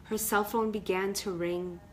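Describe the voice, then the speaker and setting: A woman's voice: mid-range voice, fairly even intonation, measured pace, a little hiss or hum. A woman storyteller reading a children's book and chatting casually on a livestream. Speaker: author and storyteller.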